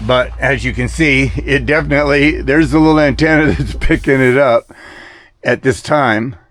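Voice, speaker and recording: An older man talking casually and unscripted as a hobbyist showing his gear, rambling and halting, often trailing off mid-thought. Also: mid-range voice, a bit bright. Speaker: CB radio hobbyist. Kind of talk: casual unscripted product talk.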